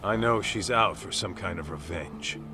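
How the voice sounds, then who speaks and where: An actor playing a gruff, easygoing male street detective in a game cutscene, talking bluntly and slangily. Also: sits low, normal speed, music in the background. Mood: measured, serious. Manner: blunt, casual slangy talk. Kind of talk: dramatic game cutscene dubbing.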